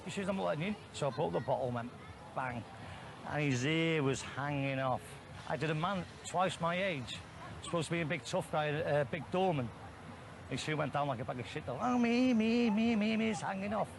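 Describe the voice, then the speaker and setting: North Welsh bloke bragging about a fight, slightly high voice, loud street noise. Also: male voice, expressive, a little fast, creaky. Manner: boastful, crude. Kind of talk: telling a story.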